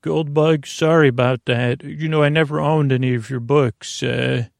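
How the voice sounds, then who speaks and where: A man hosting a podcast in a meandering, halting monologue, often trailing off mid-thought with a creaky, gravelly voice. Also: a little high, steady tone, no echo, studio quality. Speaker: podcast host.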